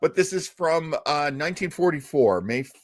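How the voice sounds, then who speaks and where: A man's voice: on the high side, lively intonation, moderate pace. A man hosting a casual live talk show, telling stories in a chatty, jokey, self-deprecating way. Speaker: host. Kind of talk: casual live show chat about old-time radio.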